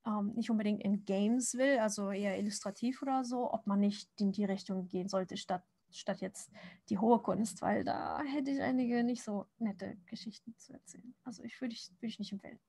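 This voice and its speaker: A woman's voice: mid-range voice, normal speed, vocal fry, darkish tone, slightly rough, no room sound. A woman in her thirties, born in Japan, answering viewer questions in a casual chat while she draws, talking with many fillers and restarts. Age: 30s. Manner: chatty, many fillers and restarts, frequent laughs. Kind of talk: casual livestream Q&A while drawing.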